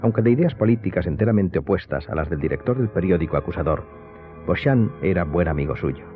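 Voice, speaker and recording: A man giving scripted dramatic narration as the narrator of a radio drama. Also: sits low. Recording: thin phone-like sound, music behind.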